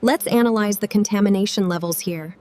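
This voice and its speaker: A woman playing an analytical sci-fi scientist, speaking in a measured, technical way as she assesses damage. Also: mid-range voice, warm tone, close to an everyday voice. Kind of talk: scripted analytical dialogue.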